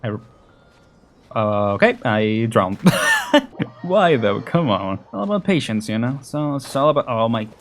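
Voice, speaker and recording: A man's voice: mid-range voice, very animated, unhurried, long pauses, background noise. Offhand male gamer with mid-range voice. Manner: offhand, muttering asides, chuckly. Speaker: gaming video host. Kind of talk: casual let's play commentary. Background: game music.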